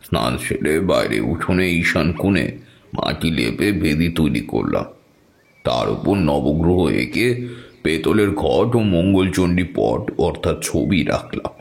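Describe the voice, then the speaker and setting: A man's voice: a bass voice, lively intonation, slow, vocal fry. A man narrating a scripted tale dramatically in the first person as a storyteller. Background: music.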